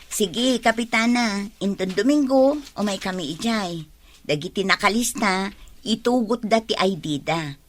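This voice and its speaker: A woman playing a brisk, no-nonsense figure in a village comedy drama, with a Filipino accent. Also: medium pitch, natural voice. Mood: businesslike.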